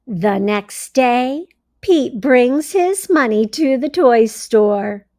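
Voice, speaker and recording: Slow, high-voiced woman reading to children. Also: lively intonation, a little mellow, no room sound, clean studio sound. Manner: deliberate, simply phrased delivery for young children. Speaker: read-aloud storyteller for early readers. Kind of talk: children's picture-book read-aloud with questions to listeners.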